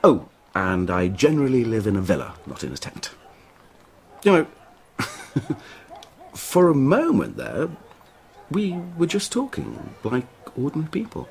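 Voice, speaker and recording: An actor playing a clever, persuasive and courteous official in a radio drama, with a wry, deliberate manner. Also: male voice, medium pitch, wildly swooping pitch, gravelly, full character voice. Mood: wry, courting. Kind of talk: intimate radio drama dialogue.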